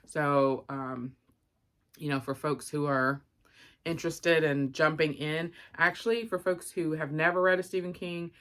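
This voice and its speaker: A woman's voice: deep voice, steady tone, unhurried. A woman giving an informal, rambling book review and unboxing, thinking aloud with frequent asides.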